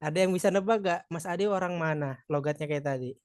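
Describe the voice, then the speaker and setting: A man's voice: fairly high pitch, fairly quick, a little mellow, clear, resonant tone, dry recording, studio quality. A man from Madura with a Madurese accent, tutoring a live online exam practice session, chatty and encouraging, joking with participants.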